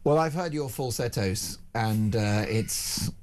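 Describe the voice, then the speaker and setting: A man's voice: on the low side, animated, slow, a little mellow. British man hosting talk radio. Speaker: radio talk show host. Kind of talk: newspaper review and casual banter.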